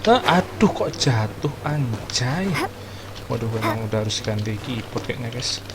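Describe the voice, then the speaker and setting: A man comments casually as he plays a game. His voice is mid-pitched and his wording slangy and informal, with game sound clearly audible behind him. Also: expressive, moderate pace, noisy background. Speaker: gaming streamer. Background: game audio.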